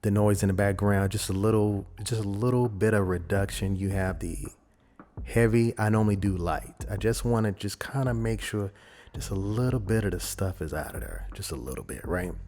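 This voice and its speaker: A man presenting a casual software tutorial, explaining informally and thinking aloud as he goes. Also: fairly low pitch, moderate pace. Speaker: audio editing tutorial presenter.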